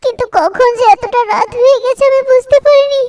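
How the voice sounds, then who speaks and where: Tiny squeaky little girl, stubborn n weepy, cartoon, bit of noise behind. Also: brisk, a little mellow. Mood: frightened, timid. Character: stubborn tearful poor little village girl. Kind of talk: cartoon voice acting.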